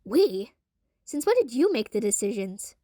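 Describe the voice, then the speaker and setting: Woman voicing a high-pitched, flustered boy ghost. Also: very animated, measured pace, warm tone, dry recording, clean studio sound. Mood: miffed. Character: timid, old-fashioned boy ghost, baffled by modern gadgets.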